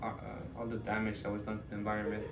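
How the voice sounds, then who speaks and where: A young man in his early twenties from Ecuador, answering interview questions in a thoughtful, hesitant way with many pauses and fillers. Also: lowish voice, monotone, roomy sound, far from the mic, noisy background. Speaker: student interviewee.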